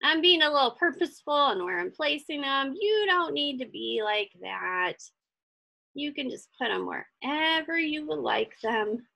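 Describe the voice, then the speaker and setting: A woman with a high voice that swings widely in pitch and often drops into creak. She talks like a casual craft instructor walking learners through each step. Her delivery is chatty and encouraging, thinking out loud as she goes. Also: very animated, unhurried. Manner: chatty, encouraging, thinks aloud while working.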